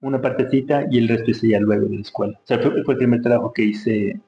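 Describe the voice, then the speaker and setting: Ecuadorian guy chatting in an interview, pretty even low voice, thinking out loud, roomy recording. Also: quick pace, gravelly. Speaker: interview guest, dancer. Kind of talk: casual interview conversation. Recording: roomy, dull sound with faint hum.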